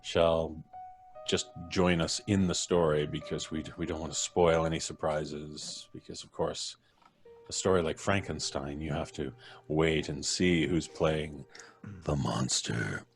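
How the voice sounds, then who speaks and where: A man's voice: rumbling low, steady tone, measured pace, faint music behind. A man with a North American accent hosting a casual live stream with jovial, playful banter. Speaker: host.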